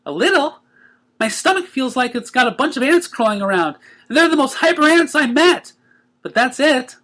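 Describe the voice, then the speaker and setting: A bubbly young female cartoon pony acted out by a man, very high and bright, chattering with childlike cheer. The delivery is bouncy and lively, made for a storybook. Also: moderate pace. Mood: perky.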